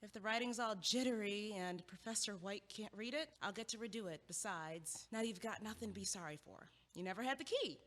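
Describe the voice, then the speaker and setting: A woman's voice: mid-range voice, fairly quick, few pauses, fairly bright tone, natural voice. Casting: actress as outspoken, sardonic female college student, driven and emphatic, mid-range voice, reverberant hall stage recording. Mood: wry, teasing. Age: young adult. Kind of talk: stage-style audio play dialogue. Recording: echoey hall with long reverb.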